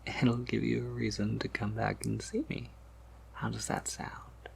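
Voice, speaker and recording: A man playing a soft-spoken vampire apothecary in a whispered ASMR roleplay, patiently explaining in a measured, slightly wry and knowing way. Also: on the low side, level tone, a little hiss or hum. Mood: quiet, confiding. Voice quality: breathy whisper with creaky undertone.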